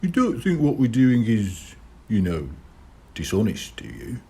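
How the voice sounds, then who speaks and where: A man's voice: medium pitch, wildly swooping pitch, a little slow, warm tone, breathy edge, pitched above the performer's own voice, exaggerated voice. A man voicing a doubtful young boy who speaks carefully and hesitantly in an audiobook.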